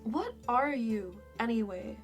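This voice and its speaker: Gal doing a snippy dragon girl, high voice, slow sarcastic delivery, music behind her, audio story. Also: expressive. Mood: curt, impatient. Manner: snide, impatient, scoffing. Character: sarcastic, irritable young female dragon warrior. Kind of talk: fan fiction audio drama acting.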